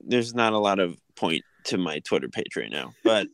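Podcast host, male, lowish clear voice, dry close mic, relaxed chatty delivery. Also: normal speed, dry recording. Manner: easygoing, filler-heavy, frequent restarts. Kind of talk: casual sports podcast chat.

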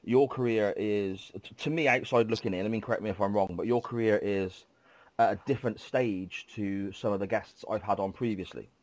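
Low-voiced man with a cold. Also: fairly quick, a little mellow. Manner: chatty, polite, frequent ums. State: congested with a cold. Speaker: podcast host. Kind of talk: casual interview chat.

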